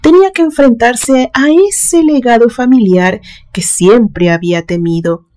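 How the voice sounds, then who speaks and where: A woman reading a literary suspense story aloud in a measured, dramatic storytelling manner. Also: fairly high pitch, a little mellow. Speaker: narrator reading a horror story.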